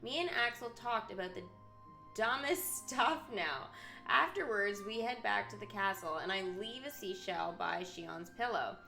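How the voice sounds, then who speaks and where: Woman, game streamer, bright crisp-edged voice, live commentary and read-aloud story text, echoey room, faint music. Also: medium pitch, expressive, a little slow. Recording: roomy echo, game music behind. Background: video game music.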